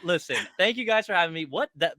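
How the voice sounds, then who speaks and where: Guest soccer streamer, male, from Argentina. Forceful bright voice, slightly high, animated, slangy, self-deprecating. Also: fast, no echo, clean studio sound. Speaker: guest, soccer content creator and fan streamer. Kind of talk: casual chat.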